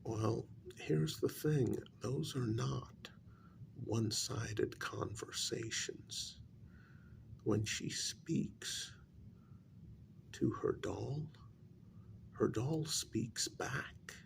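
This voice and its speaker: Man playing grave paranormal consultant, ASMR role-play, soft and breathy, heavy vocal fry, halting blunt delivery, noisy recording with clicks. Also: medium pitch, exaggerated voice. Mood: somber, explanatory. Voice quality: breathy, gravelly soft voice dropping near whisper. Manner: slow, halting, deliberate delivery with long pauses.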